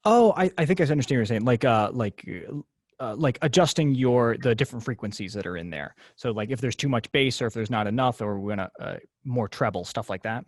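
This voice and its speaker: A man teaching a software class over a video call, explaining casually with lots of fillers. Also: mid-range voice, animated, fairly quick, dry recording, studio quality. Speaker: class instructor.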